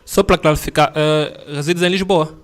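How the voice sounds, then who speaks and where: Guy hosting a sports show, kinda high smooth voice, laughs a lot, slangy. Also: steady tone, moderate pace, fairly bright tone. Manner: friendly, laughs often, slang. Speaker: news show host interviewing. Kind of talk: casual sports interview.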